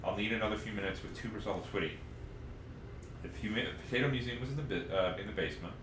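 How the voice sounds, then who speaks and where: Low-voiced man in a roomy, noisy recording. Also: quick pace. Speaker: podcast co-host. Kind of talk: casual chat and reading story passages aloud. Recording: roomy, noisy livestream audio.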